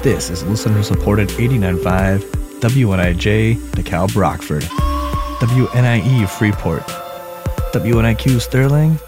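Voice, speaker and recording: Deep-voiced public radio station announcer. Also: male voice, a little slow, music in the background. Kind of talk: station identification and promos.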